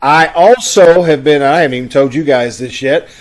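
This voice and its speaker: A man's voice: on the high side, moderate pace. A man hosting an amateur theater troupe, speaking in a folksy, conversational way over a video call. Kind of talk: informal announcements to an online audience.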